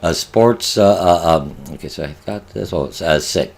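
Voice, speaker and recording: Older male instructor, Polish native, deep low voice, rambling lecture with many fillers, webcam in a home office. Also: moderate pace, vocal fry, darkish tone. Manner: rambling, many filler sounds and restarts, rhetorical questions. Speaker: college marketing instructor. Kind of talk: online lecture over slides.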